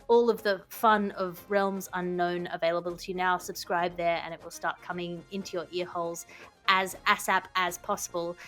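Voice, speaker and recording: A woman speaking as a podcast host, moving between casual chat and scripted promotion. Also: medium pitch.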